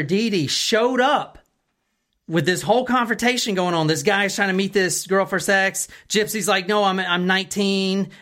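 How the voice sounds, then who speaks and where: Female true-crime podcast host, medium pitch, informal storyteller who explains step by step and checks you follow. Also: a bit bright.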